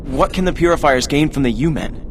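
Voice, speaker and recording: A man's voice: lively intonation, brisk, natural voice. An actor playing an earnest, idealistic, hesitant young male mutant in a video game. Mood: earnest, troubled. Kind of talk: video game cutscene acting. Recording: clear background noise and rumble.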